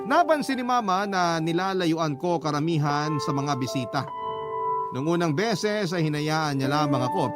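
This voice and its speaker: Guy reading a story letter for radio drama, higher voice, music really loud behind him. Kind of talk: scripted first-person story narration. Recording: prominent music bed under voice. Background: loud instrumental music.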